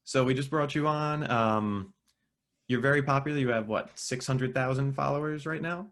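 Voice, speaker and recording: A man's voice: mid-range voice, moderate pace, a bit bright. A man interviewing a guest, asking friendly questions with frequent restarts. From United States. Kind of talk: casual interview.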